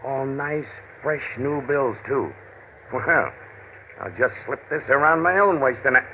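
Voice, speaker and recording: A man's voice: medium pitch, a little slow, natural voice. An actor playing a crude, sneering, bullying outlaw gunman with slangy tough talk in an old-time radio drama. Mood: sneering, suspicious. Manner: bullying, taunting. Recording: tinny, noisy old radio recording.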